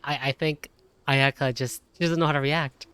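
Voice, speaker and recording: A woman's voice: a bass voice, fairly even intonation, moderate pace, fairly bright tone, clean tone. A woman giving casual live reaction commentary as she plays a game, chatty and emotionally invested. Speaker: gaming commentator.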